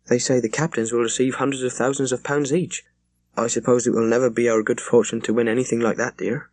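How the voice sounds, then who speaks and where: A man's voice: mid-range voice, level tone, quick pace, darkish tone, no echo, pitched above the performer's own voice, natural voice. Man voicing a tender, adoring wife. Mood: admiring. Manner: tender, affectionate. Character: tender, adoring young wife of a naval officer. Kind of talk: audiobook character voice.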